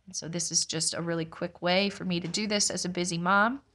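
A young woman, a mother, casually narrating a home cooking vlog in a relaxed, explanatory way. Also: lowish voice, fairly quick. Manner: relaxed, explanatory, chatty with light laughs. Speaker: homestead vlogger, mother.

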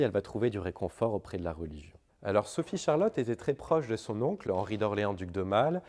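Low-voiced young man, halting explanatory delivery. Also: mellow. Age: young adult. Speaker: presenter, archive guide. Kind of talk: semi-scripted historical presentation to camera. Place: large indoor historic library.

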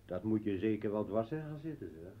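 An actor as mocking, volatile militant, distant and echoey. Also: male voice, lowish voice, expressive, brisk, natural voice. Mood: jeering. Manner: mocking, sardonic, theatrical. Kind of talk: Dutch radio play acting. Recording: echoey, distant, dull, faintly noisy radio recording.

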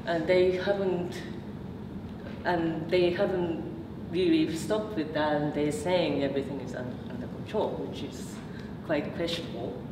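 A low-pitched woman gives a lecture in a meeting room, pausing often on fillers and restarting her sentences. She is picked up from far off, with a roomy echo and steady background noise. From Japan. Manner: hesitant, many fillers and restarts. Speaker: PhD researcher giving a talk. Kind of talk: public lecture with slides. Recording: echoey room from far off, noisy.

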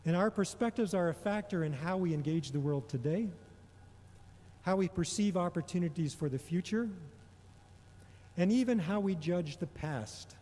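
A middle-aged man delivering a prepared homily in a measured, deliberate way, pausing often between phrases. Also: high-pitched, mellow. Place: large indoor church or hall. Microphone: gooseneck microphone on a lectern. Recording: long reverberation of a large hall.

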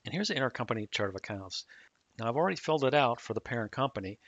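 A man calmly explaining software setup step by step in a screen-recorded tutorial. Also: medium pitch, very fast, no echo. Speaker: software trainer. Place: at a computer, voice-over on a screen recording. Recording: dull dry mic audio.

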